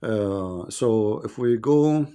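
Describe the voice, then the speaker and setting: A man's voice: on the low side, animated, extremely slow, warm tone, smooth. A man hosting a live debate, mocking and sarcastic as he reads verses aloud and searches for passages. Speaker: debate host.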